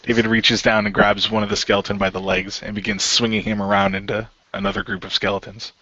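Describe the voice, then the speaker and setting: Low, bright-voiced man narrating action. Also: flat delivery, fast, no echo, dull audio. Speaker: player narrating his character. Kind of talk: improvised tabletop-style narration.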